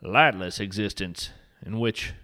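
A man's voice: on the low side, measured pace, pushed and bright. A man hosting and narrating a whimsical scripted nature podcast with an earnest, poetic, mock-solemn delivery.

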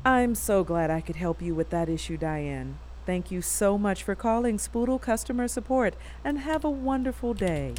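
Woman acting harried young woman, very animated delivery. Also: medium pitch, moderate pace, few pauses, slight background noise, natural voice, low-quality recording. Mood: forced politeness. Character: harried, exasperated young woman working remote customer support. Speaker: actor in an audio fiction podcast. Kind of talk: naturalistic audio drama acting.

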